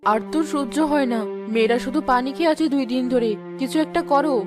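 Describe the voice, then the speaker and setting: Woman as a high-voiced distressed village wife. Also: fast, music in the background, lightly acted. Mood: distressed pleading. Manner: distressed, pleading. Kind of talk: dramatic cartoon voice acting.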